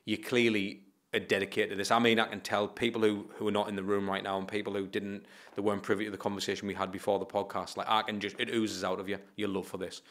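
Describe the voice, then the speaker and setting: A rapid, chatty man in his thirties from England hosts a podcast, his voice sitting a little low. He talks with plenty of enthusiasm and calls his guest mate. His voice comes through close on a studio mic. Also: little pitch movement, a bit bright. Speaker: podcast host. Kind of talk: casual interview talk. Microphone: studio mic on boom arm.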